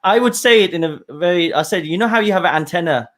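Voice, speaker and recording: A male podcast host with a slightly high voice who talks in a friendly, chatty way. He laughs a lot and often stumbles and restarts mid-sentence as he asks his questions. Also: animated, fast talker, fairly bright tone. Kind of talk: casual interview chat.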